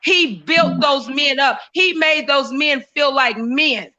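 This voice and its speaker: A woman's voice: sits high, takes it slowly, sharp tone, highs cut off. A woman co-hosting a casual opinion talk show, speaking emphatically with frequent restarts. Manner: emphatic, many fillers and restarts.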